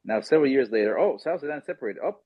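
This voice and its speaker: A man hosting a casual podcast discussion, telling stories animatedly and quickly mimicking others in asides. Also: mid-range voice, animated, dry recording, dull audio. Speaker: podcast host.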